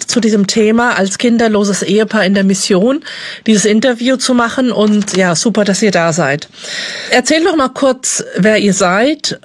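A woman's voice: mid-range voice, dry recording. A woman hosting a podcast interview, welcoming her guests and asking friendly, encouraging questions.

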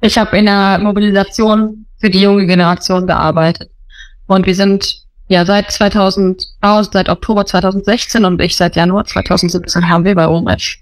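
A woman's voice: medium pitch, fairly even intonation, normal speed, dry recording. A woman answering interview questions earnestly, explaining at length with small restarts. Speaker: missionary guest.

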